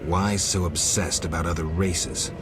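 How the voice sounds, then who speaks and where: A stoic fantasy game hero, with an extremely deep voice. He is a sardonic monster hunter who speaks in curt lines. Music runs clearly underneath. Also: fairly even intonation, unhurried. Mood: impatient, sardonic. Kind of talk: fantasy video game acting. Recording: music behind the voice.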